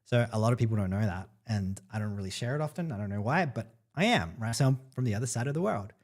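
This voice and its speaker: A man's voice: lowish voice, animated, fast talker. A man originally from El Salvador hosting a casual livestream as an online marketing coach, upbeat and chatty, often checking in with "right?" and "guys". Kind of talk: casual livestream talk to viewers.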